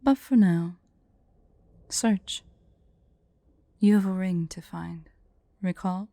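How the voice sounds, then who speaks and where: A woman playing a haughty, prickly but secretly fond female dragon in an ASMR roleplay, imperious and deliberate. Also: fairly low pitch, gravelly, mellow, dry recording. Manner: imperious, indignant, slow and deliberate.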